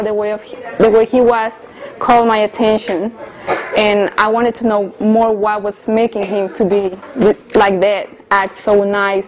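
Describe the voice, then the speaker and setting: A woman of around thirty from Colombia, speaking English, earnestly telling her personal story as an interviewee with soft hesitations. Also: mid-range voice, rough recording. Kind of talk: personal testimony in conversation.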